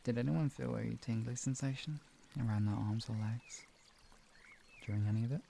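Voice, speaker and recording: A man streaming guided relaxation and talking to viewers in a soothing, gentle, instructional manner. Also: mid-range voice, normal speed, creaky, slight background noise.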